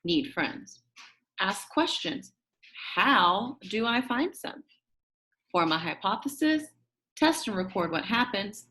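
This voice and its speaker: A shy but upbeat, quirky school kid tells their own storybook tale , read aloud by a woman. The delivery swoops widely in pitch at a medium register, chummy and expressive, with dramatic emphasis on key words. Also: heavily acted. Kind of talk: first-person children's book narration.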